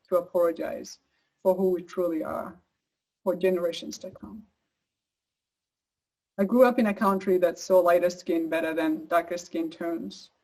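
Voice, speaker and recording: A woman's voice: on the low side, darkish tone, poor audio. An earnest woman with an East African accent delivers a prepared personal talk. Her voice sits at an ordinary conversational level, and she speaks as an advocate sharing her own story. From Uganda. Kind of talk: prepared personal speech, partly read.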